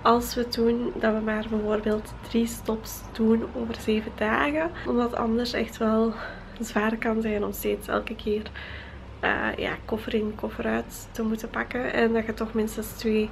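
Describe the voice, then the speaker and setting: A woman's voice: on the high side, little pitch movement, unhurried, creaky, slight background noise. A woman in her twenties with a Flemish accent, chatting casually to a handheld camera as a travel vlogger in a small hotel room. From Belgium. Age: 20s. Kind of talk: casual vlog chat to camera. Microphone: handheld camera at arm's length.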